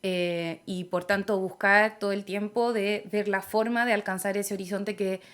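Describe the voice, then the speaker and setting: A young woman with a Chilean accent speaks as an interview guest. She is thoughtful and measured, often hesitating with soft fillers while she gathers her ideas. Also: mid-range voice, fairly even intonation. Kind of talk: reflective conversational interview.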